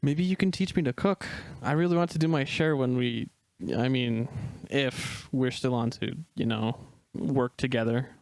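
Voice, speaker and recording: A man voicing an awkward, self-doubting young male wolf in an amateur dramatic reading, speaking with hesitant pauses. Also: medium pitch, fairly even intonation, darkish tone, no echo, close to an everyday voice. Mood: insecure. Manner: hesitant, halting, unsure.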